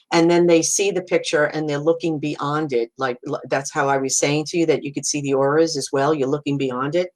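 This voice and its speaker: A middle-aged woman with a Brooklyn accent, a psychic medium hosting a casual live video and greeting viewers. She talks in a warm, reassuring way, restarting phrases and leaning on "you know.". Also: sits low. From Brooklyn, New York. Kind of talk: casual live-stream talk, reading viewer comments.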